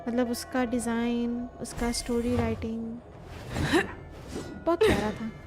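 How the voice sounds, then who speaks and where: A woman's voice: fairly high pitch, level tone, racing, music in the background. A woman with an Indian accent chatting casually as a game livestreamer. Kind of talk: casual stream chat.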